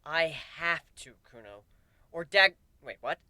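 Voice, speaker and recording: A man voicing an awkward, hesitant male pegasus pony with a sheepish, halting way of talking in a fan fiction reading. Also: on the high side, big pitch swings, sharp tone, dry recording, voice pushed up, full character voice. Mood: sheepish, flustered.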